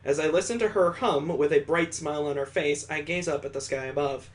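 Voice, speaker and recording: A man with a somewhat high voice reads a visual novel aloud as a streamer. His delivery moves between story narration and casual, conversational commentary. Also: fairly quick.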